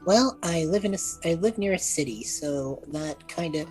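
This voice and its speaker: A woman playing an awkward, sheepish young male mage student lost in time, husky and halting, in a tabletop game. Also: low-pitched, expressive, darkish tone, faint music behind. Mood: sheepish, unsure. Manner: halting, evasive, rambling.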